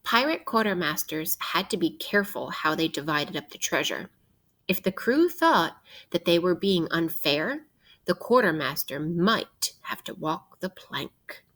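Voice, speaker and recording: A woman teacher reading a picture book aloud to children in a deliberate, instructive way, posing questions as she goes. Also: on the low side, sing-song, a bit bright.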